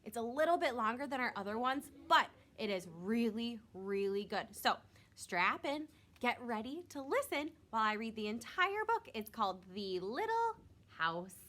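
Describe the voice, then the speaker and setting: A woman's voice: fairly high pitch, very animated, moderate pace, a bit bright. A woman reading a picture book aloud like a teacher to young children, expressively and with simple asides. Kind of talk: storybook read-aloud with short asides.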